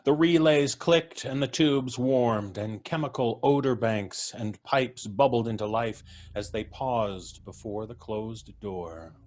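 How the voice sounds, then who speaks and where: A man narrating a classic tale in a measured, vivid way for a live audience show. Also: medium pitch, darkish tone. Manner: measured, vivid, lingering on sensory details. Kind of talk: dramatic live story narration. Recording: live stage recording with faint music.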